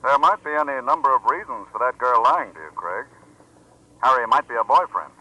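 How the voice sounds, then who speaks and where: A man's voice: medium pitch, animated, brisk, pushed and bright, muffled recording. A man playing a businesslike, skeptical authority figure in an old-time radio drama, matter-of-fact and questioning. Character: businesslike male authority, detective's skeptical contact. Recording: noisy vintage radio recording.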